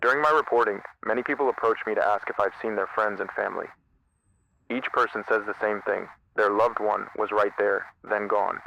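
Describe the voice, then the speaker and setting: Shaken reporter out in the field, low gravelly voice, bit of noise on the line. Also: male voice, steady tone, brisk, sharp tone, lo-fi sound. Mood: shaken, bleak. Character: shaken, lonely male field reporter amid disaster. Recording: noisy outdoor radio link.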